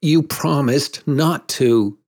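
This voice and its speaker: A man's voice: mid-range voice, big pitch swings, a little slow, a little mellow, slightly rough, pitched above the performer's own voice. A man voicing a careless, boastful little boy who never grows up, blunt and demanding, in a storybook reading. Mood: hurt, defiant. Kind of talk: storybook character voice.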